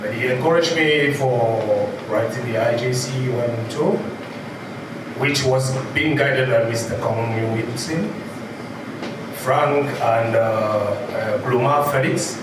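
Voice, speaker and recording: A man gives a formal, thankful speech to a gathering, opening with "ladies and gentlemen". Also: medium pitch, takes it slowly. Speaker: safety professional addressing a gathering. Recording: echoey far-off room recording, noisy.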